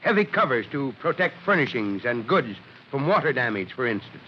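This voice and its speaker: An actor playing a gruff but kindly middle-aged fire chief in a 1940s radio drama, speaking emphatically. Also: male voice, mid-range voice, very animated, fairly quick, lightly acted. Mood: instructive. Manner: emphatic, oratorical. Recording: noisy, dull vintage radio recording.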